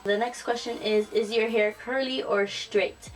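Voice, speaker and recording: A young woman chats casually to the camera, relaxed and full of ums and self-corrections. She sits a little back from the mic, so her voice sounds somewhat distant. Faint music plays softly underneath. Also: on the high side, a little slow. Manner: relaxed and chatty, frequent ums and restarts. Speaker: YouTuber. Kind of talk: casual tag video.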